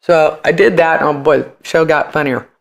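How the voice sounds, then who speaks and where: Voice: medium pitch, moderate pace, gravelly. An American podcast guest telling stories casually and colloquially, animatedly acting out what people said. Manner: animated, colloquial, acts out quoted speech. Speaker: podcast guest, founder of a ride service. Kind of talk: casual storytelling in an interview.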